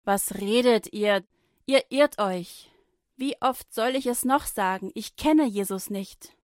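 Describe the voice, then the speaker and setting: A woman's voice: mid-range voice, takes it slowly, darkish tone, no room sound, studio quality, lightly acted. A woman voicing a troubled, frightened male disciple who protests his denials in a flustered way. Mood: denying.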